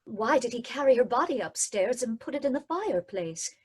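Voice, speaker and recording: A woman's voice: fairly high pitch, lively intonation, brisk, breathy edge. An actress playing a curious young woman companion to a detective in an old-time radio drama, asking questions in an inquisitive, alarmed way.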